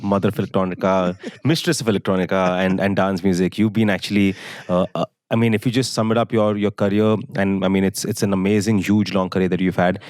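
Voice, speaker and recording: A man's voice: low voice, fairly even intonation, quick pace, a little mellow, no room sound, clean studio sound. A young man hosting a podcast interview, encouraging, often laughing, with many fillers. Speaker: podcast host. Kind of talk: casual interview chat.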